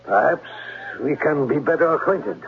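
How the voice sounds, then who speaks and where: An actor playing a menacing, lecherous German groundskeeper with clipped, insinuating, commanding speech and a German accent in a 1970s radio drama. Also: male voice, a little high, lively intonation, moderate pace, vocal fry. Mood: smooth, insinuating. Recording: crackly narrow-band old radio recording.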